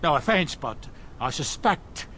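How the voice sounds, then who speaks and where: A man's voice: a little high, very animated, pushed and bright, slight background noise, exaggerated voice. A man playing a genial, folksy middle-aged dwarf merchant with courteous manners in a fantasy video game. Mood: friendly, courteous. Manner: courteous, folksy, unhurried pleasantries.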